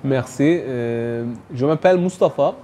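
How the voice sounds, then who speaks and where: A man from Turkey with a Turkish accent, answering interview questions hesitantly and with many pauses. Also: medium pitch, slight background noise. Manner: slow, many pauses and fillers, soft laughs. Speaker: guest, writer and calligrapher.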